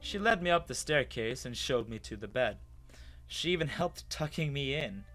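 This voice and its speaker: A man acts out a bewildered human telling his own story in an animated dramatic reading. His mid-pitched voice is wondering and hesitant. Faint music plays behind him. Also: moderate pace, close to an everyday voice. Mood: uneasy, wondering. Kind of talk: first-person dramatic story narration.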